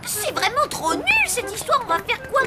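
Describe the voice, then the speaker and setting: A woman's voice: very high voice, animated, normal speed, over loud music. A woman voicing an inquisitive, easily indignant young child in a French children's cartoon.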